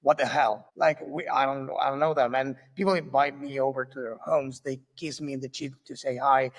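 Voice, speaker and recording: A male podcast host from Argentina speaks English with an Argentine Spanish accent, friendly and a little halting. His voice has a gravelly edge. The recording carries a long, hall-like reverb. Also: medium pitch, steady tone. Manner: friendly, halting with small restarts. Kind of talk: casual interview.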